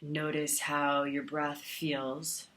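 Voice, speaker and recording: A woman with a low-pitched voice leads a guided meditation as its teacher. She speaks very slowly and calmly, leaving long, soothing pauses between short instructions. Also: poor audio.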